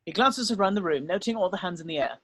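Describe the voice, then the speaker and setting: High-pitched man narrating, dry sound. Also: expressive, fast talker, fairly bright tone, breathy edge, no echo. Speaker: narrator reading stage directions. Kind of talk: scripted narration in a table read.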